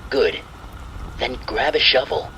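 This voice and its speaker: Man voicing a cocky teenage bully, bright voice. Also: mid-range voice, slow, a little hiss or hum, pitched above the performer's own voice, low-quality recording. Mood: taunting. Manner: taunting, bossy. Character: cocky, mean teenage boy bullying his friend. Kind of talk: spooky storytelling in old time radio theme.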